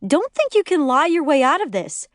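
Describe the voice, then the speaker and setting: Woman acting a shrill, hysterical screaming young wife. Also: wildly swooping pitch, moderate pace, a bit bright, dry recording, studio quality. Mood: angry, accusing. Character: hysterical pregnant young wife, jealous, screeching, accusing. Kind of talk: acted audiobook dialogue.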